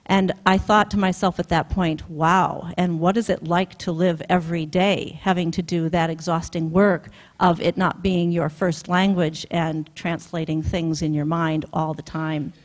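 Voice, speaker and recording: A woman project manager giving a conference keynote talk, relaxed and unscripted, with frequent ums. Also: fairly low pitch, measured pace, hardly any pauses. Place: conference hall.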